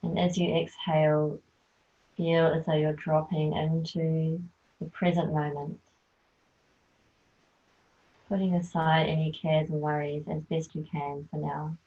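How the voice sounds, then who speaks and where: A woman leading a guided yoga nidra relaxation, speaking softly and soothingly as she gives gentle instructions. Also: deep voice, little pitch movement. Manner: slow, soft, soothing instruction. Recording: tinny phone-like sound.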